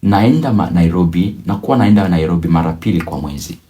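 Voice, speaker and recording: A man teaching a beginner language lesson, drilling each phrase slowly and repeating it several times. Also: sits low, animated, dark tone. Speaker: English teacher.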